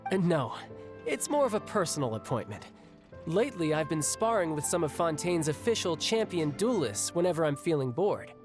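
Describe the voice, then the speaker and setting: An actor playing a young, restless, battle-hungry warrior in a video game, speaking in a breezy, reflective way. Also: male voice, fairly high pitch, very animated, normal speed. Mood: explanatory. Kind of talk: video game cutscene acting. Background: game music.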